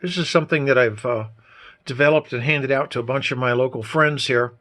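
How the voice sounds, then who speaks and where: A man's voice: mid-range voice, fairly quick, a bit bright. A man giving a casual, folksy hands-on demonstration as a hobbyist presenter, explaining things informally. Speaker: amateur radio hobbyist presenter.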